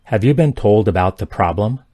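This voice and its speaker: A man's voice: lowish voice, normal speed, dry recording, studio quality. Man reading practice sentences twice. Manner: reads each sentence twice, careful and even. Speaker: English sentence reader. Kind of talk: slow repeated sentence reading for learners.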